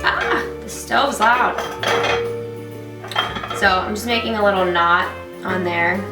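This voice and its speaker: A woman's voice: medium pitch, slow. A young woman with a stuffed-up, nasal voice, joking her way through a casual kitchen tutorial. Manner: bubbly and jokey. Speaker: vlogger. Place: home kitchen, loud stove. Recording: roomy, mic at a distance, music behind.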